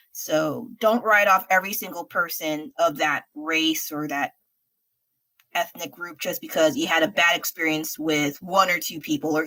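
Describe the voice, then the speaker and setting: A young woman from the States talks frankly on a late-night stream while she paints, thinking out loud and laughing easily. Her voice sits a little low but sounds bright and forward. She rambles in a loose, casual way. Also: rough recording. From United States. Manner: chatty and frank, laughs often, thinks aloud. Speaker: livestreaming artist. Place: home at night.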